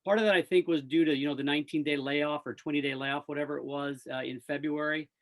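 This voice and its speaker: Higher-pitched man chatting casually about sports. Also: steady tone, fast talker. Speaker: radio play-by-play sports announcer, interview guest. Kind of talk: casual enthusiastic sports chat.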